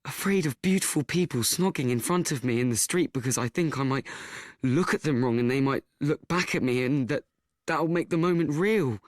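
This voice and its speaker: A young actor playing an anxious, self-doubting teenage boy, confiding in a halting, stammering radio play monologue. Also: mid-range voice, dry recording, clean studio sound, close to an everyday voice. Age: young adult.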